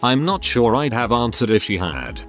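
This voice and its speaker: A man narrating reader-submitted stories in an even, scripted reading style with dry asides. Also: mid-range voice, normal speed. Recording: faint music bed.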